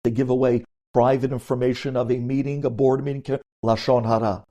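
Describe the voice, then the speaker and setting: A man speaks as a rabbi delivering a religious lecture. His voice is dark and mellow, without sharp edges. He drives points home with emphasis and repetition, dropping Hebrew terms into his sentences. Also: mid-range voice, level tone, brisk. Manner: emphatic, repeats key phrases for weight; mixes Hebrew terms into English. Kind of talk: sermon-like Torah lecture.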